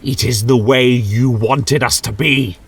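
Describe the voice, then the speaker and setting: A man's voice: mid-range voice, steady tone, measured pace, breathy edge, pitched above the performer's own voice. A man voicing a proud, vengeful, bestial vampire in a grandiose, declamatory manner for an audio fantasy tale. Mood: defiant zeal.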